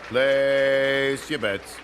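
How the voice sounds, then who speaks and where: An actor playing an impassive casino croupier in a radio drama, calling out in a drawn-out drone. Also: male voice, mid-range voice, steady tone, a crowd in the background, background noise. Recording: echoing casino room with loud music.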